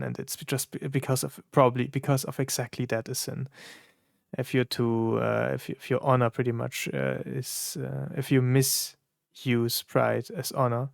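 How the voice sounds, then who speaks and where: A man whose first language is German, giving casual reaction commentary in a halting way, with many fillers and restarts as he searches for words. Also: mid-range voice, gravelly, no echo, clean studio sound. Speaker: content creator reacting to songs.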